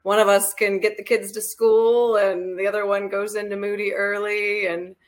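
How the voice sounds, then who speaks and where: A woman professor answering interview questions, warm and laughing easily. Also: medium pitch, flat delivery, moderate pace. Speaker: guest, professor.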